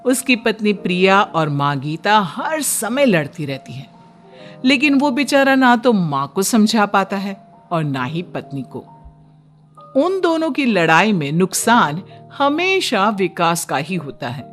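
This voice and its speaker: A woman's voice: medium pitch, very animated, slow pace, faint music behind. A woman giving scripted narration as the storyteller of a cartoon moral tale.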